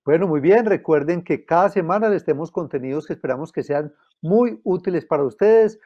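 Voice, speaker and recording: A man's voice: on the high side, expressive, brisk, dull audio. A man hosting a business show, enthusiastically announcing news over a video call. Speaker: show host.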